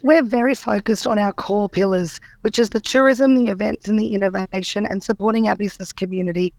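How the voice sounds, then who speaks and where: An Australian woman who runs a tourism organisation, answering radio interview questions in an upbeat, promotional way with a croaky voice. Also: medium pitch, fairly even intonation, fairly quick, a little mellow, no room sound. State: croaky voice after a weekend event.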